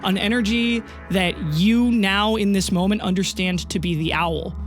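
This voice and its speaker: A woman narrating a tabletop roleplay audio drama as game master, casual in wording with dramatic pauses. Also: mid-range voice, fairly even intonation, faint music behind.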